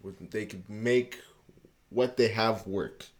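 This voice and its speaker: A man's voice: on the low side, takes it slowly. A young man from Canada, chatting loosely as a podcast guest and often checking in with "you know what I mean". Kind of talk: casual interview chat.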